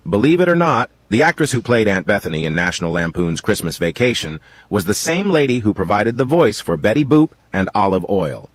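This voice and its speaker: Low, animated man narrating trivia, dry sound. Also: no echo.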